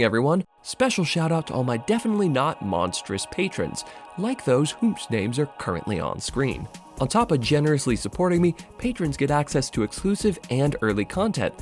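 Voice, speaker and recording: A man's voice: medium pitch, darkish tone. A man narrating a scripted video essay in an emphatic, dramatic way. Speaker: video essayist and channel host. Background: music.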